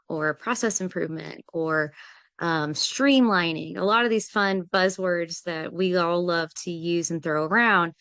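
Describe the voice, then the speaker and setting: A woman hosts a business webinar in a smooth, clear voice pitched a little low. The sound is dry and close, and she walks through her points in a friendly, orderly way with small fillers. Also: a bit bright, dry recording. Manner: friendly and organized, with frequent "um" fillers. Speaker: webinar host, project manager.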